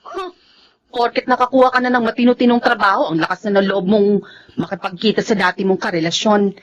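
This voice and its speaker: An actress playing a worried wife in a radio drama, with a Filipino accent. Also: female voice, medium pitch, steady tone, quick pace, no echo, lightly acted. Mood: suspicious, nagging. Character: suspicious, money-worried wife who softens when reassured. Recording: dry, slightly dull sound.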